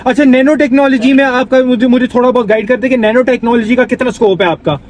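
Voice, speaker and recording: A very high-pitched Pakistani father played by a man presses his point on a prank call. He is condescending and fussy, circling back over his words and dropping in English. Faint car noise sits behind him. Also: fairly even intonation, quick pace. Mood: pressing, disappointed. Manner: insistent, repeats himself, mixes English into Urdu. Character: pushy, condescending, fussy father seeking a tutor. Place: inside a car while driving.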